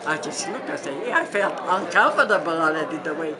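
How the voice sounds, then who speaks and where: A woman's voice: low voice, lively intonation, brisk, over a noisy crowd. An elderly woman from Transylvania, a native Hungarian speaker with a Hungarian accent, recalling her life in a conversational way and addressing her listeners directly. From Transylvania, Romania. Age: older, 70s or 80s. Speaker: Holocaust survivor giving testimony. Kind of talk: spoken personal recollection to an audience. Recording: large room with audience murmur.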